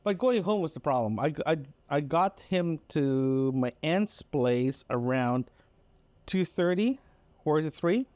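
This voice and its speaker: A man's voice: on the high side, animated, a little slow, darkish tone, no echo. A man from Canada hosting a casual audio diary, telling everyday stories in a rambling, repetitive, mildly exasperated way with frequent check-ins to the listener. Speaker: podcast host.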